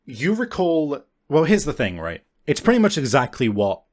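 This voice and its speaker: A man's voice: on the high side, huge pitch swings, normal speed. A man improvising tabletop game narration as game master in a casual, sweary, conversational way. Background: faint background music.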